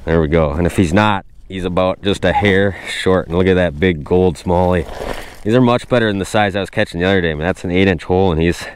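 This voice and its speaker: Excitable man narrating his fishing. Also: sits low, fairly quick, clear, resonant tone. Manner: excitable, exclaims at each catch. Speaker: host. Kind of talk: casual fishing vlog commentary. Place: outdoors on a frozen lake. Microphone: wearable action camera.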